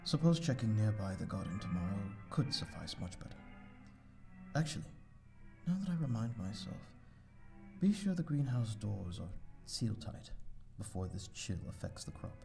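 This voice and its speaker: A man's voice: fairly low pitch, sing-song, normal speed, creaky, a little mellow, faint music behind. A man playing a lonely, refined vampire lord with formal, wistful speech in an ASMR audio drama. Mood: aloof, musing. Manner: formal, wistful, archaic wording.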